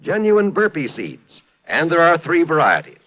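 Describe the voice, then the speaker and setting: An upbeat, emphatic male announcer from a 1950s radio broadcast, his slightly high voice swinging with animated inflection. The old recording is dull, with music underneath. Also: moderate pace.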